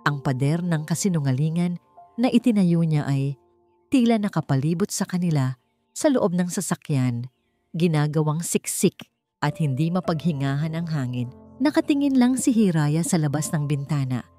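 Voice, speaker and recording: A woman with a Filipino accent narrating a scripted romance story in slow, deliberate, dramatic phrasing. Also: low-pitched, expressive, dark tone, faint music behind.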